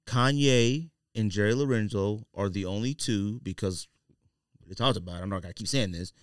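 A man's voice: on the low side, moderate pace, clear, resonant tone, no echo, studio quality. An American man hosting a casual podcast, arguing his points emphatically and repeating himself. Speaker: podcast host. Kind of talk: casual podcast chat and debate. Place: indoor podcast studio.

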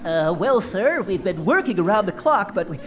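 An actress playing a nervous, deferential scientist in a comedic radio play. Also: female voice, medium pitch, sing-song, normal speed, slight background noise, natural voice. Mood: anxious.

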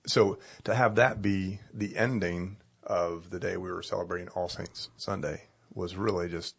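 Hoarse, gravelly low-voiced pastor. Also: male voice, normal speed. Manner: chatty, animated storytelling with laughs. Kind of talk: casual chat.

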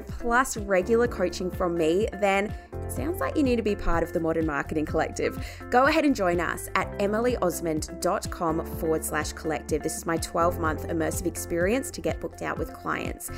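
A woman hosting a podcast, talking with an effusive, enthusiastic manner in a live online conversation and then closing the show. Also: on the low side. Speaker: podcast host. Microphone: live-stream call.

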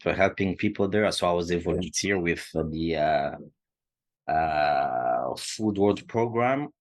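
Slow, very low-voiced man from Congo. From Democratic Republic of the Congo. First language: not English. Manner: hesitant, many fillers and restarts. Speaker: food shelf supervisor. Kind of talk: interview answers.

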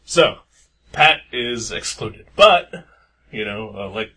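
A man's voice: lowish voice, lively intonation, takes it slowly, noisy background. A young man co-hosting a podcast in casual chat, rambling with lots of fillers. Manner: rambling, hesitant, many fillers. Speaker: podcast co-host.

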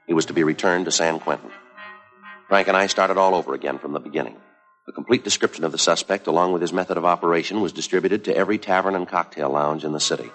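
Stoic American detective, low-pitched, clipped deadpan narration, 1950s TV soundtrack, music behind. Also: male voice, quick pace, rough recording. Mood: flat, businesslike. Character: stoic, businesslike male police detective sergeant narrating cases. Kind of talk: 1950s police drama narration, terse and factual.

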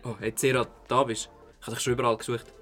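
A young man playing an excitable party guest in an audio drama, informal and urgent. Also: mid-range voice, quick pace, a faint crowd, lightly acted. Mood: relieved, hurried. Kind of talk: amateur audio drama dialogue. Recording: faint music underneath.

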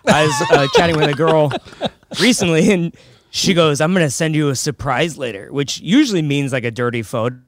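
A man, a comedian guest, bantering casually and telling self-deprecating stories in a halting, chuckling way. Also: fairly high pitch, noisy background.

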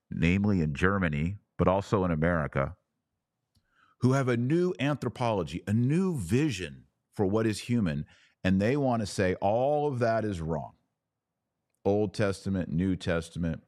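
A man giving explanatory religious commentary as a podcast host, speaking in an emphatic, deliberate teaching manner and repeating phrases for effect. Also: fairly low pitch, a little mellow, no echo, clean studio sound.

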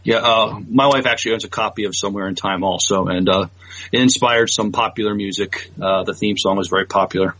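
A man's voice: on the low side, fairly even intonation, brisk, a bit bright. A man co-hosting a podcast in casual chat, hesitant and thinking aloud as he goes. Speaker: podcast co-host. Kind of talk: casual chat about films. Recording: dull, lo-fi, dry sound.

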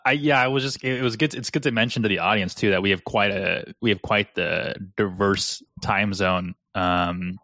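A man from the central United States co-hosting a casual podcast, chatty and quick to laugh, on a remote call. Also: on the low side, pushed and bright, no echo, studio quality. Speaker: podcast co-host.

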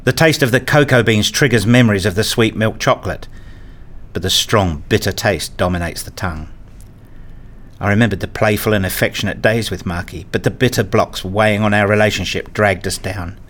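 A man reading a scripted first-person story as narrator, in a measured, introspective manner. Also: fairly low pitch, fairly bright tone.

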